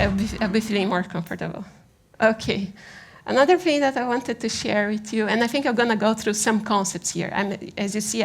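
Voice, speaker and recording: A Brazilian woman speaks as a presenter at a design conference. Her voice has a noticeable creak and sits at an ordinary female pitch. She talks informally and haltingly with a Brazilian accent, often seeking agreement as she goes. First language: Portuguese. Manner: halting, conversational, often checks with "right?".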